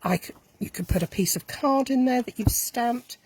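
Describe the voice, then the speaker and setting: A woman's voice: on the high side, normal speed, a little mellow, husky, rough recording. A woman casually demonstrating a card-making craft, chatty and enthusiastic as she talks through each step while she works. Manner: chatty, enthusiastic, thinking aloud while working.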